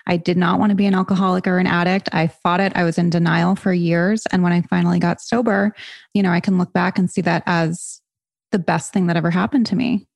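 A woman with a low, gravelly voice hosting a podcast interview. She talks in a relaxed, conversational way and fills her sentences with "like" and "you know." The sound is dry and close. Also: fairly quick, no echo. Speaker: podcast host. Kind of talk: casual interview chat.

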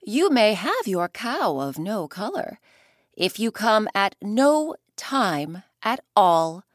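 A woman doing a bold, cheeky messenger from a folk tale. The delivery is sing-song and perky, slow, with pitch rising and falling a lot. The recording is close and dry. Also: very animated, no room sound.

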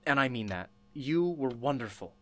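A woman's voice: rumbling low, animated, moderate pace. A woman playing an enthusiastic, sympathetic radio interviewer in an audio drama, effusive and earnest in manner. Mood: grateful, earnest. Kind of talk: radio play acting.

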